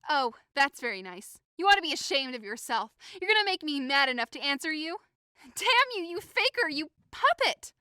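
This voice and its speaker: A woman's voice: high-pitched, big pitch swings, normal speed, bright, forceful tone, no room sound, studio quality, heavily acted. A woman playing a timid, lovesick, easily wounded young woman, plaintive and melodramatic, in a modernized Shakespeare audio drama. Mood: offended.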